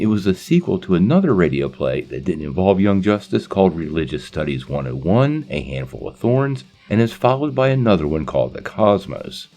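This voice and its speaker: Man, video host, scripted explainer, animated intonation, dark mellow tone, faint music bed. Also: low voice. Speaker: channel host.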